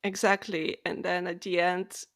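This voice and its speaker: A woman's voice: lowish voice, level tone, measured pace, fairly bright tone. A woman co-hosting a podcast, talking through books in a casual, opinionated way. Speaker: podcast co-host. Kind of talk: casual book discussion.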